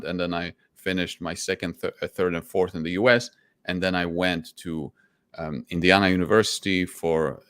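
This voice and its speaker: A man speaks as an interview guest, telling his story candidly and often restarting sentences. His voice sits a little low, and the sound is dry and close. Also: a bit bright, clear, resonant tone, no room sound. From Poland. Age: 40s. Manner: candid and reflective, with frequent restarts. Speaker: guest, stock investor.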